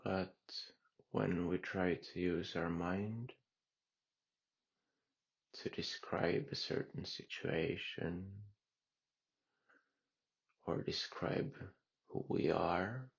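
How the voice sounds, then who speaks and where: A man guiding a meditation in a calm, unhurried way with long pauses. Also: deep voice, vocal fry, husky, dull audio. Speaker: meditation guide. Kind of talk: guided meditation.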